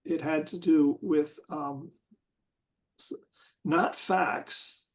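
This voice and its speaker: Guy with a kinda high voice, chatting in a group, slow and halting, lots of restarts. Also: fairly even intonation. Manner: halting, searching for words with many restarts. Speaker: discussion group member. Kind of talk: casual group discussion.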